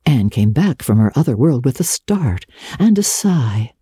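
Female literary narrator: deeply mellow, breathy, expressive, measured. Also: dry recording, clean studio sound. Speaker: audiobook narrator.

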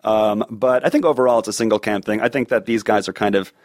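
Fast-talking man in a dry-sounding studio. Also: fairly low pitch, no echo. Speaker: podcast co-host and comedy writer. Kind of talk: casual chat.

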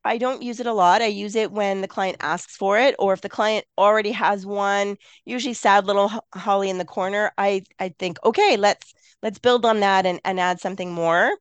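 A woman's voice: medium pitch, fairly even intonation, moderate pace, few pauses, clean tone, no echo. Lady with a froggy cold voice chatting on a garden podcast, lots of restarts, dead dry sound. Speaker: podcast co-host, garden designer. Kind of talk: casual podcast chat.

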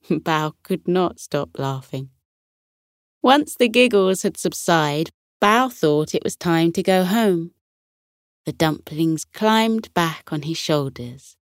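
A woman narrating a children's bedtime story in a gentle, expressive storytelling manner. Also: lowish voice, dry recording, studio quality.

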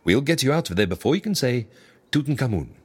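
A dry, formal fixer in a comedy audio drama. The voice is husky and swings very widely in pitch, selling schemes in a measured, businesslike way. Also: very animated. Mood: confident, efficient. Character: wry, businesslike fixer who arranges faked deaths for clients. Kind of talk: comic audio drama acting.

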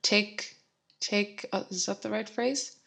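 Hoarse woman, podcast co-host, gravelly and rambling, self-deprecating chat. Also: medium pitch, steady tone, takes it slowly, a bit bright.

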